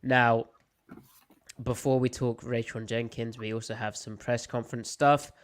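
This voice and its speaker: A man's voice: medium pitch, level tone, unhurried. A young British man hosting a live sports podcast, chatting in a playful, opinionated way, a little snuffly from a slight cold. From United Kingdom. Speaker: podcast host. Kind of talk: casual live podcast chat.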